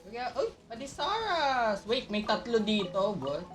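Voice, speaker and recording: A lively, expressive woman with a Filipino accent, chatting casually as she streams a game. She reacts freely and code-switches, with soft music behind her. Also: fairly high pitch, moderate pace. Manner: reactive, switches between Tagalog and English. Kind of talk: casual livestream game commentary.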